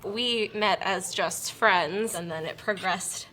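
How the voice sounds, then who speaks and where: An earnest woman of about thirty speaks in a measured way. Her voice is bright and forward. She asks and answers questions about her relationship. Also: mid-range voice, soft background music, rough recording. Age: 30s. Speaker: guest on a couples game show. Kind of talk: casual chat.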